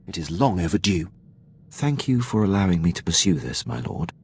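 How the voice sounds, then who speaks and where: An actor playing a formal, dutiful imperial military captain in a video game, clipped and deferential, with a gravelly voice. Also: male voice, low voice, sing-song, moderate pace, darkish tone, heavily acted. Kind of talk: video game dialogue acting.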